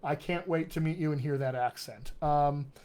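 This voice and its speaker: A man's voice: a little high, moderate pace, darkish tone. A man with a General American accent with hints of West Texas and upstate New York, hosting a casual live Q&A as a dialect coach in an upbeat, teacherly way. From Colorado, USA.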